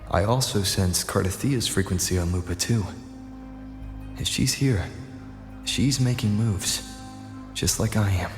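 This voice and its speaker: An actor playing a composed young male game hero who reasons through his plans in a measured, serious way. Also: fairly low pitch, close to an everyday voice. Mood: grave, thoughtful. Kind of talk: scripted game voice acting. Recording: echoey, long reverb, music behind.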